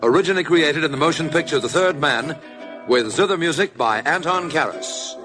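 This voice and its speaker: A man's voice: a little high, expressive, normal speed, loud music behind the voice, lightly acted. A man announcing a 1950s radio drama in a grand, declaiming manner, on an old archive recording.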